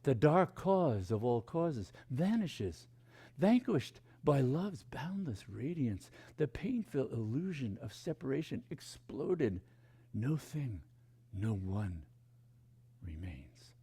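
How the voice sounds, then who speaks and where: A man speaking as a meditation teacher, reading and explaining spiritual teachings in a hushed, deliberate, contemplative way with dramatic pauses. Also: medium pitch, big pitch swings, breathy edge.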